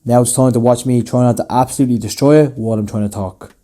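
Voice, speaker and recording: A man's voice: mid-range voice, fairly even intonation, fast, mellow. A young Irish man hosting a cooking video, talking casually and bluntly with the odd swear. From Ireland. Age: young adult. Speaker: cooking channel host. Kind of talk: casual cooking vlog narration.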